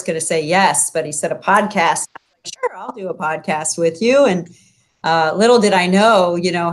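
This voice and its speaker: A woman who co-hosts a podcast, chatting in an upbeat, easy way on a video call. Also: fairly low pitch. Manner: laughs easily, chatty and upbeat. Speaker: podcast co-host. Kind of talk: casual interview chat.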